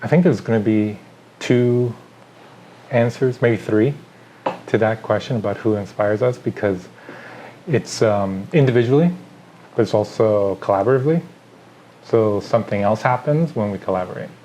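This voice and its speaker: Thoughtful Chilean-born man, low voice. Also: dark tone, a little hiss or hum, rough recording. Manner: thoughtful, pauses with fillers, lists ideas. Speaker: artist. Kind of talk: reflective artist interview.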